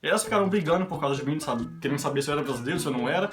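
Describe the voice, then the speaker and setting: A man's voice: a little high, lively intonation, racing. A young man from Brazil telling a run of everyday anecdotes to his vlog audience in a chatty, casual way. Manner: chatty anecdotal, quoting others in his own voice. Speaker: vlogger. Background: background guitar music.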